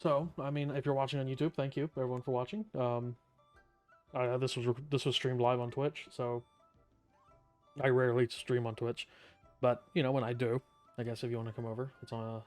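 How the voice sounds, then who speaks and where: A man's voice: mid-range voice, flat delivery, fairly quick, a little mellow, soft background music. A man streaming a game, chatting casually with sarcastic remarks while he hums and scats along. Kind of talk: casual gameplay commentary.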